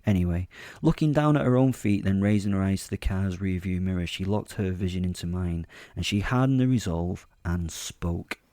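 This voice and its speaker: Guy narrating a horror podcast in character, really low soft-edged voice, wry little asides, confiding. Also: moderate pace, few pauses, dry recording, clean studio sound. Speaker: narrator and host of a fiction podcast. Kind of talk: first-person horror drama narration, told in character.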